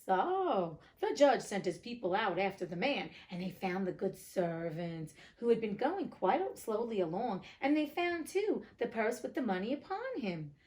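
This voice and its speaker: A woman narrates a fairy tale with a very animated pitch. She is playful and expressive, and moves between storybook reading and chatty commentary. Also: measured pace, few pauses. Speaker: host reading fairy tales aloud. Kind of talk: storybook narration with casual asides.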